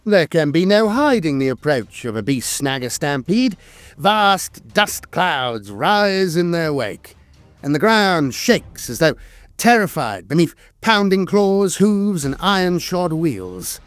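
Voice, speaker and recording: A man's voice: on the high side, no echo. A man narrating a scripted piece of fantasy lore in a dramatic storytelling manner.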